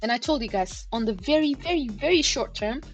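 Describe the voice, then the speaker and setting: A woman's voice: on the high side, lively intonation, fairly quick. Chatty woman hosting a live chart stream. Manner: chatty, many fillers, addresses chat directly. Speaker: crypto chart analysis livestream host. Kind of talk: casual live market commentary. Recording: livestream with faint background music. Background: faint background music.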